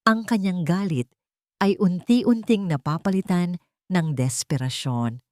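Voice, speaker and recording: A woman's voice: on the low side, measured pace, darkish tone, no echo, clean studio sound. A woman narrating a dramatic scripted story.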